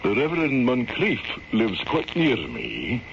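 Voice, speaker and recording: An actor playing a courteous, reassuring Scottish country doctor with a calm, deliberate manner in an old-time radio drama. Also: male voice, mid-range voice, creaky, exaggerated voice. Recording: dull old radio broadcast, some noise.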